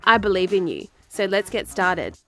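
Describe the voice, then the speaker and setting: A woman's voice: mid-range voice, very animated, moderate pace, soft background music. An upbeat, chatty Australian woman in her thirties from South Australia with a blocked nose, hosting a solo podcast and wandering into friendly digressions. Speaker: podcast host. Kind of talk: solo marketing podcast talk.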